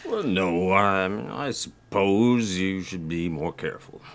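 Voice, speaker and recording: Older dad in a radio drama, real deep n creaky, mild, kinda halting and gentle. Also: male voice, animated, heavily acted. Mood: hesitant. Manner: halting, hesitant. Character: mild, hesitant older father, gently philosophical. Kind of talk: audio drama acting.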